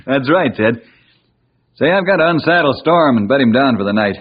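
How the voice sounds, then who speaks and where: An actor playing a hearty, folksy forest ranger in an old-time radio drama, on a muffled old recording. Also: male voice, mid-range voice, lively intonation, moderate pace, slight background noise, natural voice. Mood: hearty, friendly.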